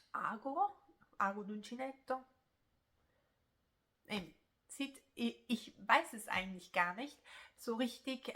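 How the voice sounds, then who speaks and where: A young woman with a Swiss German accent talks through her crochet vlog, her voice bright and clear. She chats on loosely, with plenty of hesitations and restarts. Her first language is Italian. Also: medium pitch. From German-speaking Switzerland. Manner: chatty, rambling, many filler sounds and restarts. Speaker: crochet YouTuber. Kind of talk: casual vlog chat.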